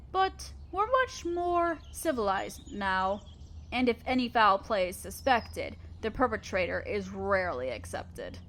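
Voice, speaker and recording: A gentle spider queen, acted by a woman with a fairly high voice. She is loving and thoughtful, explaining things tenderly and patiently, as a mother would to an adopted child in an audio roleplay. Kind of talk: scripted audio roleplay, addressing the listener.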